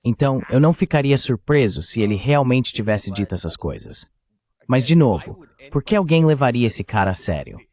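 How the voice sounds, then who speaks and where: A man's voice: medium pitch, very animated, moderate pace, a little mellow. A man giving translated voice-over political commentary, opinionated and fond of rhetorical questions.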